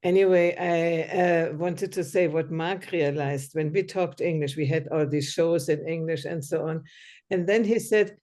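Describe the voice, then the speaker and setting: A woman's voice: low-pitched, fairly even intonation, measured pace, darkish tone. A woman whose first language is German, chatting casually in a discussion group, hesitant and often searching for words. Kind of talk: casual conversation.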